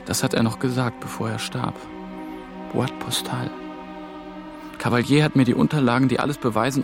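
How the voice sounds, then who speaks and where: An actor playing a hunted young male EU trainee who narrates his own story in a tense, hushed inner monologue. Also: medium pitch, vocal fry, lightly acted. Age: young adult. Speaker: narrator and protagonist. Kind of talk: radio drama inner monologue.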